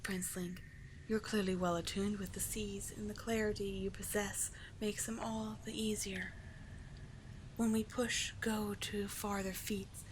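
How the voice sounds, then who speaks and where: A woman voicing a doting, teasing sea witch mermaid in an ASMR roleplay, soft and breathy, often near a whisper, with soothing, formal storybook phrasing. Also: mid-range voice, a little hiss or hum, close to an everyday voice, rough recording. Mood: soothing, musing.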